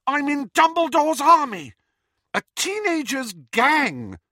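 Male reader playing a defiant teen girl, high and tense. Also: wildly swooping pitch, very slow, sharp tone, no room sound, clean studio sound, exaggerated voice. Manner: defiant, pleading. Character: defiant teenage girl, fiery and stubborn.

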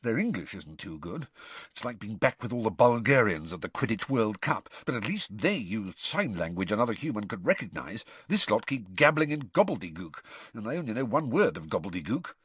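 A man's voice: on the low side, big pitch swings, a little fast, fairly bright tone, breathy edge, no echo, full character voice. A man voicing a jovial, shifty middle-aged sports official in an audiobook, falsely chummy, with a hesitant, confiding, blustering manner. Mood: confiding grumbling.

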